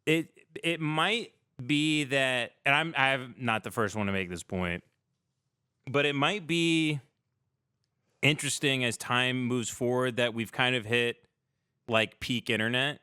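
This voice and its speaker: A man's voice: medium pitch, unhurried, pushed and bright, smooth, no echo, studio quality. A man chatting casually on a livestream talk show, speaking informally with slang and swearing.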